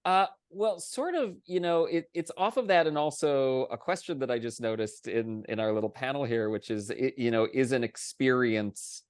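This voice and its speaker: A man's voice: mid-range voice, normal speed, hardly any pauses, clear, resonant tone, no room sound, clean studio sound. A man speaking as a panelist on a video call, conversational and discursive, circling back to restate his points.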